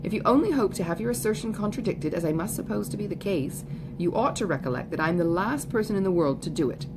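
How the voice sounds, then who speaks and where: A woman voicing an impassioned, indignant young gentlewoman from a period novel, with emphatic, formal phrasing, in a home recording. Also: mid-range voice, animated, fast talker, background noise, lightly acted.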